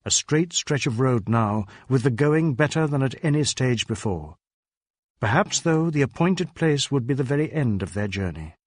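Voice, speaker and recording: A male audiobook narrator with a medium-pitched voice reads crime fiction in a measured, suspenseful way. The sound is dry, with very little room in it. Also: expressive, dry recording. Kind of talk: scripted narration of a crime novel.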